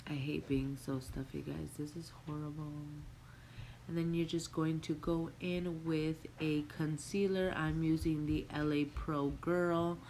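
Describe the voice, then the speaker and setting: Young female beauty vlogger, nasal from a cold, low-pitched and unhurried; casual tutorial patter at home. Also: a little hiss or hum, rough recording. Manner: relaxed, chatty, addresses viewers as guys. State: stuffy nose from a cold. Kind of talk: makeup tutorial walkthrough. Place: home room with a Christmas tree.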